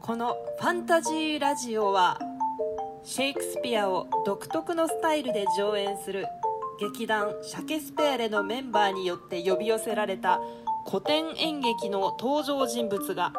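A woman's voice: fairly high pitch, animated, moderate pace, lightly acted. A woman playing a theatrical announcer, reading a formal scripted introduction for a fantasy radio show. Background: loud tinkling mallet music.

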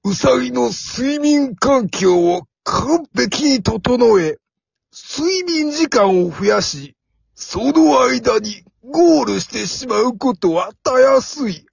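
A woman voicing a sly old tortoise in a comic radio drama, talking like a theatrical villain with a creaky voice. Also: on the high side, sing-song, no echo, full character voice. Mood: smug, grandiose. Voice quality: gravelly, creaky. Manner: theatrical, slow, drawn-out villainous delivery. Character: sly old tortoise, scheming slow-footed mock villain. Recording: dull, lo-fi recording.

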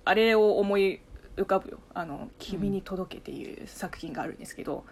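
A young woman, a native Chinese speaker, chatting casually with a friend, talkative, animated and quick to laugh. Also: medium pitch, vocal fry. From China. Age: young adult. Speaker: radio talk host, amateur voice actor. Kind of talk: casual chat between friends.

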